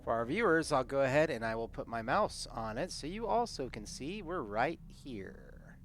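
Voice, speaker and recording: A man's voice: mid-range voice, sing-song, moderate pace, a bit bright, a little hiss or hum. A man talking casually as a player in a streamed tabletop role-playing game.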